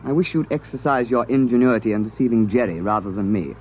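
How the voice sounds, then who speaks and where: An actor playing a stuffy, gullible British army lieutenant, flustered and excitable, in a 1950s radio drama. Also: male voice, medium pitch, fast talker, breathy edge, lightly acted. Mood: flustered, indignant. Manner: flustered, indignant, hesitant. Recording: muffled, noisy old radio broadcast.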